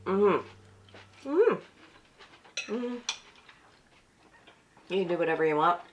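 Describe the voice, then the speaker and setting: A woman's voice: lowish voice, big pitch swings, very slow, muffled recording, slight background noise. A woman from Canada co-hosting a casual livestream chat at an off-grid cabin, reading viewer comments and laughing often. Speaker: livestream co-host.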